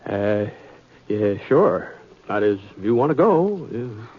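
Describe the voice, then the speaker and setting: An actor playing a mild, hesitant, decent Western drifter with an American accent in an old-time radio drama. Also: male voice, lowish voice, very animated, slow, husky, full character voice. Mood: reluctant, awkward. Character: easygoing, decent Western drifter, a grown man. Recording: dull, lo-fi vintage radio recording.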